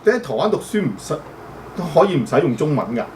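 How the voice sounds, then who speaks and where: Relaxed Hong Kong Cantonese-speaking host. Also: male voice, fairly high pitch, fast. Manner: relaxed, conversational, strings questions with fillers. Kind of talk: casual interview questions. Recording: distant mic with faint background noise.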